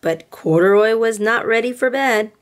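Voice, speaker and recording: A woman reading a picture book aloud to children in a deliberate, expressive way. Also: a little high. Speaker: storybook reader for children.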